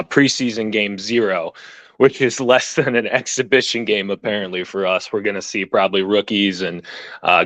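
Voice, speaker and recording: Low-voiced easygoing fan, dry sound. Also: male voice, fairly bright tone, no room sound. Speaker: guest, football fan. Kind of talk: casual sports chat.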